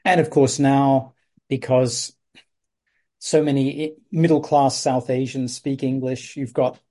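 A man's voice: mid-range voice, little pitch movement, a little slow, dark tone. A man hosting an academic interview, speaking in an articulate, discursive way. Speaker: interviewer host. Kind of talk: conversational interview.

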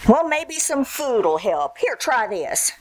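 A woman's voice: high-pitched, big pitch swings, slow, a little mellow, full character voice. A woman playing a good-natured, fussing, amused housemaid in an old-time radio comedy. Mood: helpful. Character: good-natured female housemaid, gently amused by her employer. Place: theatre stage before an audience. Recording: live stage show, background noise.

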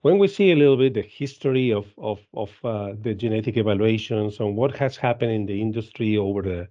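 A man in his fifties from Argentina with an Argentine Spanish accent, answering interview questions in halting English with many repeats and fillers. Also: lowish voice, dull audio. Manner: halting, frequent repeats and "uh", laughs easily. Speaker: guest, dairy genetics business director.

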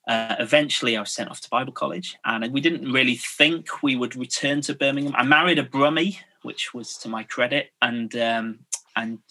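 Man in his forties, pastor guest, bright voice, modest, lots of ums. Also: mid-range voice, normal speed. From North Yorkshire, England. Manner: modest, self-deprecating, many fillers. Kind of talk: casual interview chat.

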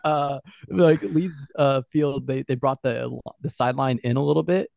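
A man's voice: medium pitch, steady tone, normal speed, creaky, darkish tone, dry recording. A man co-hosting a football podcast, chatting casually in a hesitant, loosely phrased way. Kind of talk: casual sports chat.

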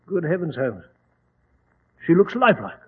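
Blustery British gentleman sidekick, high hoarse-edged voice, dramatic storyteller, tinny vintage radio drama. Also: sing-song, normal speed. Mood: astonished. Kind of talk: 1940s radio acting. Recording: tinny old radio recording.

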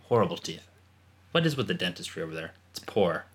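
A man in his thirties with an Iowan accent, co-hosting a podcast with dry, deadpan joking. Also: low-pitched, a little fast. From Iowa, United States. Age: 30s. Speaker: podcast co-host. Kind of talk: casual chat.

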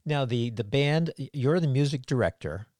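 A man interviewing a musician in relaxed conversation, asking leading questions. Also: mid-range voice, moderate pace, no echo, clean studio sound. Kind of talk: casual interview chat.

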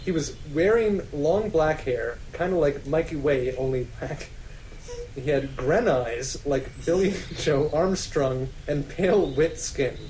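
A man's voice: a little high, animated, a little slow, darkish tone, a little hiss or hum, poor audio. A man co-hosting a podcast, reading fan fiction dramatically and bantering casually, often amused as he goes. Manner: often breaking into laughter while reading. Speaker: podcast co-host.

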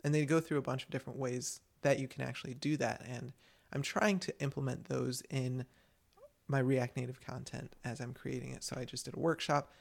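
Male podcast co-host, gravelly mid-pitched voice, loose rambling chat. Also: little pitch movement, brisk. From United States. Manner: chatty, rambling with many restarts. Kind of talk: casual chat.

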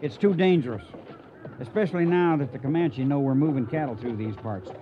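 An actor playing a firm, cautious cattle trail boss in a western radio drama. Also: male voice, mid-range voice, a little fast, natural voice. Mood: firm, worried. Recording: noisy, muffled old radio recording.

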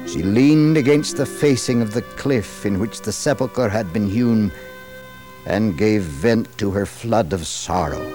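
An American man narrating a dramatized religious story in a solemn, theatrical, measured old-radio style. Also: fairly low pitch, warm tone. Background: music.

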